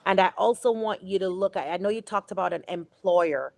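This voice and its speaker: A woman's voice: medium pitch, brisk, creaky, no echo. Encouraging woman giving immigration advice. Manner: encouraging, affectionate, emphatic. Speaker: immigration advisor on a call-in show. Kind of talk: advice on a live call-in show.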